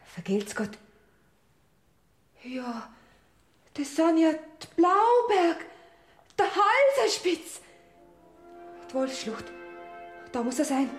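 A woman's voice: very high voice, big pitch swings, extremely slow, long pauses, echoey room, soft background music, full character voice. An actress in her twenties playing Marei, an anxious, humble young Bavarian woman in a radio play. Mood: searching. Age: 20s. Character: Marei, a timid young Bavarian woman just arrived in heaven.

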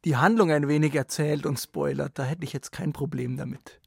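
A man's voice: on the high side, steady tone, brisk, vocal fry, a crowd in the background. A man hosting a radio interview in a conversational moderating style.

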